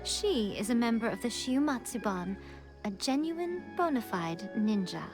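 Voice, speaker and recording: A woman's voice: fairly high pitch, lively intonation, unhurried, music in the background. A woman playing a sly, elegant shrine maiden in a video game, poised and formal, teasingly condescending as she gives orders. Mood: smug, explanatory. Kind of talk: English video game voice acting.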